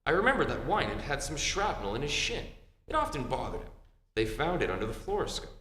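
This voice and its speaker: A wry, teasing private eye in an old-time radio play. His pitch moves around freely as he wisecracks. It sounds like a live stage in an echoey hall, with faint noise. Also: sing-song, fairly quick, a bit bright. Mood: confident. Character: wry, unhurried male private detective, fond of his wife. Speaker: amateur stage actor. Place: live theatre performance, heard in a hall.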